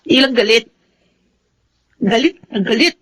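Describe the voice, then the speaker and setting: A woman's voice: fairly high pitch, expressive, unhurried, husky, full character voice. A woman with a Filipino accent acting a wronged, bitter young daughter in a melodrama. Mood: accusing, angry. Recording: loud dramatic music behind.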